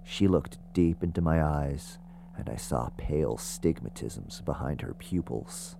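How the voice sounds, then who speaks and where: A man's voice: extremely low pitch, measured pace, vocal fry, background noise, heavily acted. An actor playing a ghost hunter who narrates his investigation in a scripted first-person audio drama. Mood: measured, tense.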